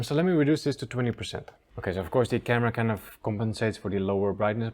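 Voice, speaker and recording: Easygoing low-voiced man reviewing tanks. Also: darkish tone. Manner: easygoing, chuckles often, trails off and restarts. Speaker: aquascaping channel host. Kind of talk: casual review talk.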